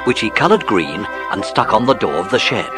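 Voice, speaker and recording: A man's voice: on the low side, sing-song, brisk, over loud music. A man narrating a scripted children's story.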